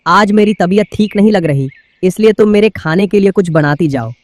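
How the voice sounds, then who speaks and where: A man's voice: high-pitched, a little fast, no echo, lightly acted. A man voicing a grumbling, henpecked male crow husband in a cartoon. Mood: complaining. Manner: grumbling, complaining. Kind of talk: cartoon voice acting.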